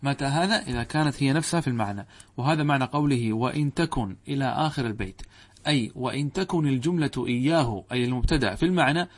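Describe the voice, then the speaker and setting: A man teaching Arabic grammar to students, posing questions to the class and answering them himself, reciting quoted text. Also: mid-range voice. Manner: addresses students directly, checks understanding.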